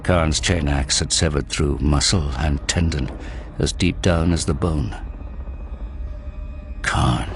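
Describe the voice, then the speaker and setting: A man narrating a war story in a grave, deliberate, dramatic scripted style. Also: a bass voice, fairly even intonation. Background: prominent dramatic music.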